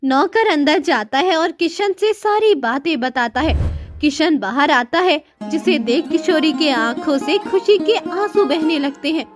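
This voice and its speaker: Casting: female storybook narrator, high-pitched, scripted delivery. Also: lively intonation, unhurried, faint music behind.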